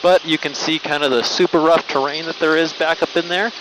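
A man's voice: on the high side, steady tone, a little fast. A man working as a helicopter flight instructor, explaining casually and with enthusiasm as he flies, reassuring in manner, speaking into a headset mic. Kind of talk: casual explanatory narration while flying. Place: small helicopter cockpit in flight. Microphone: aviation helmet headset mic. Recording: loud helicopter cabin noise, headset audio. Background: helicopter engine and rotor noise.

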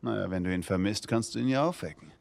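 A man's voice: on the low side, fast, gravelly, darkish tone. A man voicing a devoted older brother, a young survivor, in a German video game dub, acted with emotion. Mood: relaxed. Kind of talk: German video game dubbing, dramatic acting.

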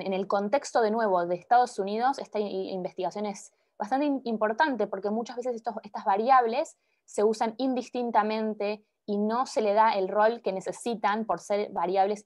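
A young woman presenting her doctoral research over a video call, pausing often with fillers and restarts. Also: medium pitch, steady tone, darkish tone. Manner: hesitant, many filler sounds and restarts. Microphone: laptop microphone on video call. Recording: muffled video call audio.

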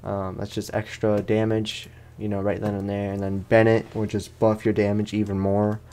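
A man with a low-pitched, creaky voice hosts a casual let's play. He rambles in loose slang and pokes fun at himself as he goes, talking through the game like he would to a friend. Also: monotone, moderate pace, darkish tone. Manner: rambling, slangy, self-deprecating. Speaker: gaming host.

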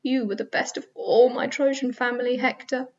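A woman's voice: on the high side, brisk, a little mellow, far from the mic, muffled recording, voice pushed up. A woman voicing a lonely, regretful outsider mourning a kind brother-in-law. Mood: mournful.

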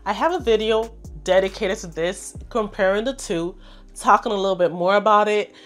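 A woman reviewing perfumes to camera in an enthusiastic, chatty way, congested and a little hoarse from a cold. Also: medium pitch, normal speed, faint music behind. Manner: chatty, enthusiastic, addresses viewers as "you guys". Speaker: fragrance reviewer. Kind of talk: casual product review to camera.

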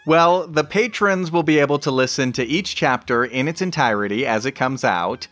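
A man's voice: medium pitch, expressive, moderate pace, a bit bright, faint music behind. A man hosting a podcast, talking in a conversational introductory style. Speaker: podcast host.

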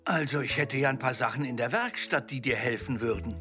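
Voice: mid-range voice, brisk, music in the background, close to an everyday voice. A performer voicing a kindly, helpful old grandpa zebra in a German-dubbed children's cartoon. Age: older character.

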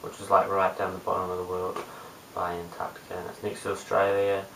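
A young man with a New Zealand accent chats casually in a low-pitched, hesitant mumble. His voice is low-key and stays very even. It is picked up by a distant webcam microphone with some hiss. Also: a little fast, reverberant. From Christchurch, New Zealand. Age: young adult, late teens. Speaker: amateur video blogger. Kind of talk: casual chat to camera. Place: small bare room indoors. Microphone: webcam at a short distance.

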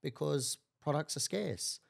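Gravelly middle-aged man explaining food trends. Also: on the high side, measured pace. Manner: calm, explanatory, short declarative phrases. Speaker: food marketing guest. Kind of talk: interview answers. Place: bar-like studio room, indoors. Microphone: desk mic on a stand.

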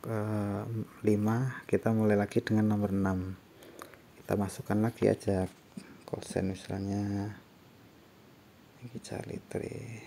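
A man gives a casual step-by-step software tutorial, explaining in a halting way. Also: on the low side, gravelly. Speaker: tutorial presenter. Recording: faint background noise.

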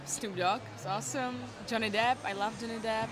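A woman's voice: on the high side, fairly even intonation, fairly quick. Giggly young Czech woman, music behind. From Czech Republic. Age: young adult. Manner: chatty, giggly, searching for English words. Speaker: interviewee. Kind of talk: casual street interview. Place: indoor cafe. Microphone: handheld microphone.

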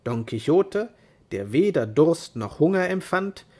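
A man reading a storybook tale as narrator, in an expressive, deliberate manner. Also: on the high side.

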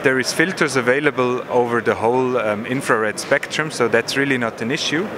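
A man in his thirties or forties giving a measured technical explanation in an interview, pausing to find words, on a handheld microphone. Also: medium pitch. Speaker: product manager explaining a demo. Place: busy exhibition hall booth. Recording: noisy trade fair hall ambience.

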